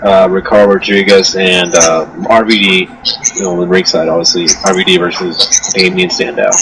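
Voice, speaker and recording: Joking man hosting, low voice. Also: little pitch movement, moderate pace, background noise. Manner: joking, crude, leads the talk. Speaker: host. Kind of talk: casual chat.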